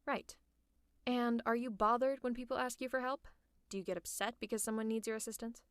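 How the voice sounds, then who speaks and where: A tough female supervillain with a soft spot, acted by a woman in an ASMR roleplay. Her voice is fairly high and recorded close and dry. She talks in a conversational way, reassuring with a gently teasing edge. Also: steady tone, a little fast, smooth, no echo, natural voice. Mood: soft, concerned. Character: tough, caring female supervillain tending an injured hero. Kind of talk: ASMR roleplay audio drama.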